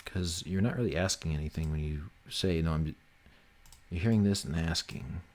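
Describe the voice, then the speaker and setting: Low-voiced man, casual livestreamer. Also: normal speed. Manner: informal, sweary, teasing. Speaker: livestream host and game developer. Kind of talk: casual livestream chat, thinking aloud while working.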